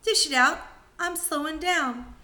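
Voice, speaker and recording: A woman voicing a wildly excited ancient grandmother with a screechy voice. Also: high voice, a little slow, pitched above the performer's own voice. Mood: demanding.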